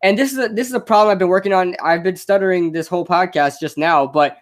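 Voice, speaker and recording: A young man, a podcast host with a slight stutter, giving rambling, unscripted advice that is full of quick restarts. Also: sits high. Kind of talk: casual unscripted advice monologue.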